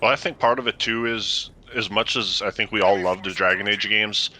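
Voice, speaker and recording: A man's voice: lowish voice, fairly even intonation, moderate pace, slight background noise. A man giving his opinions in casual chat as a fellow player on a gaming stream. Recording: very loud game gunfire behind voice. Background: loud video game gunfire and artillery.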